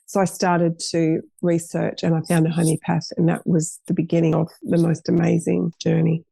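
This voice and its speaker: A woman's voice: on the low side, completely flat delivery, normal speed, vocal fry, warm tone, husky, no echo. A middle-aged woman, a podcast guest telling her story in an earnest, rambling way with many restarts. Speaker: guest, cafe owner. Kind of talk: casual interview chat.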